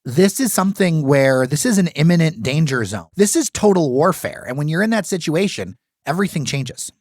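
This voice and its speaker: A man's voice: a little high, expressive, moderate pace, a little mellow, no echo, clean studio sound. A man hosting an interview podcast, speaking in an earnest, emphatic way with long run-on sentences. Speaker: podcast host. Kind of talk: casual interview talk.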